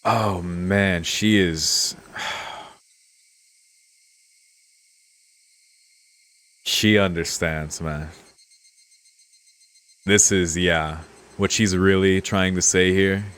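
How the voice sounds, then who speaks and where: A man with a low-pitched voice works through a story game as a let's player. He reads narration aloud and muses on it casually, in a laid-back way. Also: steady tone, drawn-out words, long pauses, fairly bright tone. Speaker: let's-play streamer. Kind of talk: reading game text aloud with casual commentary.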